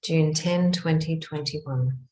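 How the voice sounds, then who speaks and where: A woman guiding a meditation in measured, hushed phrases with long pauses between them. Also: deep voice, fairly even intonation. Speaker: meditation guide. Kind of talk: guided meditation narration. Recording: roomy, echoing sound.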